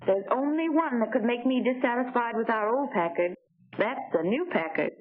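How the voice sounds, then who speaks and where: A woman's voice: on the high side, lively intonation, moderate pace. An actress playing a well-bred, admiring lady car shopper, genteel and carefully enunciated, in 1940s promotional film style. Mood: wistful. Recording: tinny 1940 film soundtrack.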